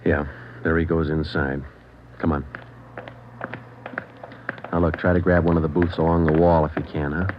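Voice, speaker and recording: An American man playing a no-nonsense, deadpan police sergeant and narrator in a vintage radio crime drama. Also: a bass voice, moderate pace, long pauses, noisy background. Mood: terse, watchful. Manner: deadpan, matter-of-fact. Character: no-nonsense male police sergeant, clipped and stern. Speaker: detective and narrator. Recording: muffled old radio recording with music.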